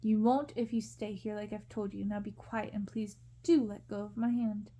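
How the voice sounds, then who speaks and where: A woman's voice: medium pitch, level tone, normal speed, warm tone, lightly acted. A woman voicing a moody, impatient prince with a curt manner in a storybook. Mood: impatient, sarcastic. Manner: curt, commanding.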